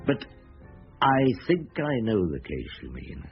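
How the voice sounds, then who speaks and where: An actor playing a middle-aged, weary but compassionate senior police detective, murmuring and sighing thoughtfully in a radio drama. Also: male voice, fairly low pitch, wildly swooping pitch, a little slow, vocal fry, soft background music, exaggerated voice. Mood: wry, reflective. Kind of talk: BBC radio drama acting. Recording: muffled, noisy old radio broadcast.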